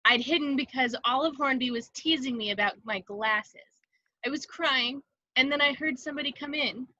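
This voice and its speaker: Woman doing a mopey teen ghost girl, high n plaintive, dry muffled lo-fi audio. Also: normal speed, sharp tone, no room sound. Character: mournful ghost of a teenage girl. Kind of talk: amateur table read of a film script.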